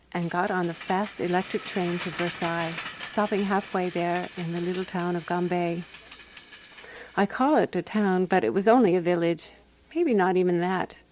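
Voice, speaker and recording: An actress playing a composed, wry woman sleuth narrating a radio mystery in measured, scripted storytelling. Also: on the low side, darkish tone, slight background noise, lightly acted.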